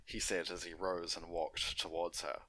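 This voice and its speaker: Male narrator for fan fiction readings, lowish register with a crisp, forceful edge. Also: flat delivery, moderate pace, no room sound, rough recording. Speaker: story narrator.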